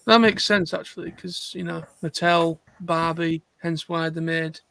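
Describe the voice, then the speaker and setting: Male chat participant, high voice with plenty of creak, informal. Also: fairly even intonation, a little slow, bright, forceful tone, no echo. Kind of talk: casual chat.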